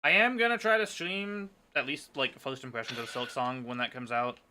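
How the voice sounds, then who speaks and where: A man hosts a casual livestream with a bright, forceful voice of medium pitch. He chats informally with friends and viewers. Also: moderate pace. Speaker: livestream host.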